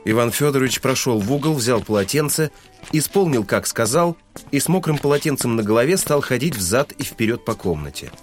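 A man's voice: mid-range voice, lively intonation, brisk, soft background music. A man narrating a literary audio drama in a scripted, storytelling style.

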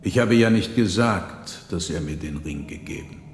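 A man's voice: low voice, moderate pace, creaky. A man playing a guarded but insistent man under questioning in a German radio play, in an echoing, noisy hall. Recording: echoey hall with noisy ambience.

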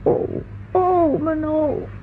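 An actress playing an anxious, drowsy older housewife in a radio play, speaking haltingly and repeating words. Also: female voice, sits high, exaggerated voice. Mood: alarmed. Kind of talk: old radio play acting. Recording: noisy, muffled phone-like old radio recording.

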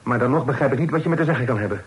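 An actor playing a polite, upright young gentleman who speaks formally, in an old radio drama. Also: medium pitch, animated, rapid-fire, natural voice, low-quality recording. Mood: stern. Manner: courteous, formal and principled.

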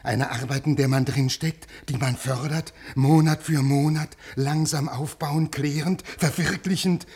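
An actor playing a desperate, aging scholar in a 1950s German radio play, husky and overwrought, pleading and repeating himself. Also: male voice, a little high, fairly even intonation, unhurried, few pauses, darkish tone. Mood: bitter, urgent.

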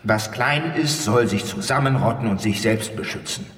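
An actor playing a proud, scornful middle-aged bodyguard in a radio drama, blunt and declamatory in delivery. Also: male voice, on the low side, moderate pace, fairly bright tone, natural voice. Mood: indignant, emphatic. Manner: blunt, emphatic, declamatory, mocking. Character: gruff, scornful broad-shouldered professional bodyguard, proud and contemptuous. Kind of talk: theatrical radio drama dialogue. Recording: echoey hall, distant from the mic.

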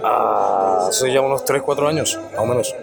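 Young street singer, low husky voice. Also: male voice, music in the background. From Venezuela. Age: 20s. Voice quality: low, slightly hoarse speaking voice. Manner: halting, restarts and fillers, relaxed. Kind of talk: casual interview answers.

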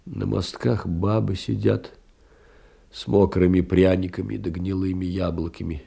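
An actor playing a lonely, meek middle-aged clerk, musing tenderly in a husky, gravelly voice in a theatrical monologue. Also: male voice, low-pitched, fairly even intonation, unhurried, dark tone, full character voice. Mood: wistful, musing. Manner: musing, intimate, hesitant storytelling. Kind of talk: theatrical literary monologue in an audio play.

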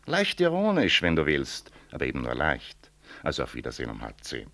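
An actor playing a pragmatic friend who earnestly urges compromise, insistent and reasoning, in a 1960s German radio drama. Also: male voice, extremely low pitch, wildly swooping pitch, brisk, fairly bright tone, full character voice. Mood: conciliatory.

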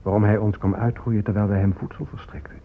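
An actor playing a grave leader recounting conquest in deliberate radio drama monologue. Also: male voice, fairly low pitch, flat delivery, a little hiss or hum, close to an everyday voice. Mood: puzzled, reproachful. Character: grave man recounting conquest, warrior or chief. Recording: tinny, phone-like old radio recording.

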